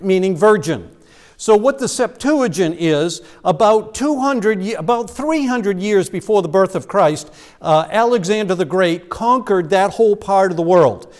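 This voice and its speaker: High-voiced pastor in a reverberant church. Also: male voice, a little mellow. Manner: explanatory, conversational preaching with fillers and restarts. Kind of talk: sermon. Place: church sanctuary.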